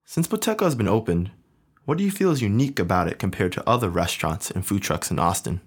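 A husky male interviewer with a fairly low voice. He asks his questions at a brisk clip. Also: animated.

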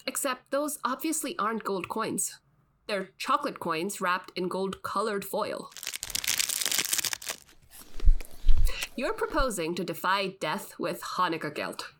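A woman's voice: mid-range voice, lively intonation, moderate pace, noisy background, close to an everyday voice. An actress playing a dry, skeptical podcast host, the exasperated straight woman in scripted comic banter. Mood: wry, skeptical. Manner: wry, skeptical.

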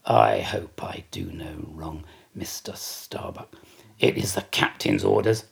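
Blustering, gravelly sailor, acted by a male reader. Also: low-pitched, takes it slowly, in a whisper, heavily acted. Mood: blustering, scolding. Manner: mocking, theatrical, emphatic. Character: blustering, sarcastic, indignant whaling ship's second mate. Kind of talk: dramatised classic novel reading.

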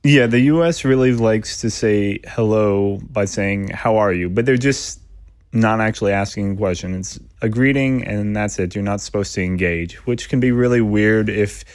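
A man speaking as a podcast guest in a relaxed, thoughtful interview conversation. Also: lowish voice, no echo, studio quality. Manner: thoughtful, measured, occasional laughs.

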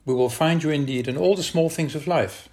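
A male minister with a Dutch accent leads prayer and scripture reading. His delivery is calm and measured, in the steady cadence of liturgy. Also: a little high. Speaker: minister leading worship. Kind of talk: online worship service, prayer and scripture reading.

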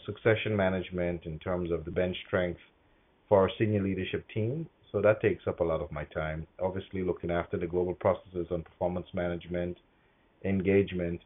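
A middle-aged man from Guyana answering interview questions as a corporate executive, speaking in a measured, hesitant way with frequent pauses. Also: sits low, narrow, tinny audio.

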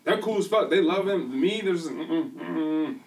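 A man's voice: a little high, lively intonation, a little slow, recorded from a distance. Young Midwestern man, casual, a bit off-mic. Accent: Midwestern American. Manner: laid-back, joking, drops to quiet asides. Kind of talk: casual chat.

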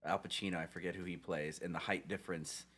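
A podcast host with a lowish male voice. He rambles through a story, stopping and restarting as he goes, in a casual chat. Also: steady tone, fast talker, fairly bright tone, husky.

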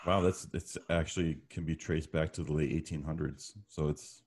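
A man's voice: rumbling low, fairly even intonation, normal speed, darkish tone, husky. A man chatting casually in a dry, matter-of-fact way. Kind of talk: casual chat.

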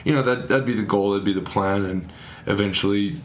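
A man's voice: lowish voice, fairly even intonation, slight background noise. A young man in his early twenties, co-hosting a podcast in casual chat, rambling with many restarts and laughs. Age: young adult. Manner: rambling, halting, frequent laughs. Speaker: podcast co-host.

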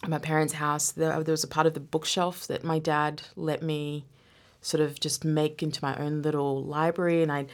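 Low gravelly woman, reflective guest. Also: level tone, normal speed. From Zambia. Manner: reflective, many restarts and laughs. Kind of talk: casual conversational interview.

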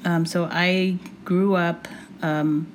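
A woman in her forties, born in Puerto Rico with Spanish as her first language, talks as a guest in a podcast interview. Her voice sits low, and she pauses often with thoughtful ums and soft laughs. A faint hum of room noise sits behind her. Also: level tone. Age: 40s.

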